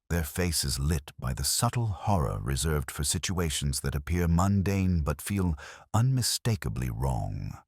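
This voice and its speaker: A man reading a scripted first-person mystery story in a measured, literary and brooding manner. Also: a bass voice, no echo, studio quality. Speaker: narrator.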